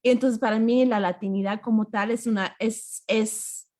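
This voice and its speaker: A woman's voice: on the high side, steady tone, measured pace. Encouraging US-raised woman host. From United States. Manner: warm, encouraging, mixes Spanish with English. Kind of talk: casual conversation.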